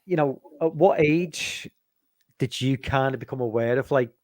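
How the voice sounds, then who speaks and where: A man's voice: mid-range voice, normal speed, darkish tone, no room sound. A man in his forties with a Liverpool accent hosting a casual stream, rambling and restarting his words. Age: 40s. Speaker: stream host. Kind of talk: casual chat.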